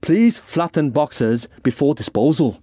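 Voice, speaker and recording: A man's voice: fairly high pitch, sing-song, unhurried, mellow, no room sound, studio quality, voice pushed up. A man voicing a fussy, pedantic, exasperated caretaker in an audiobook story, easily wound up. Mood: pedantic, aggrieved.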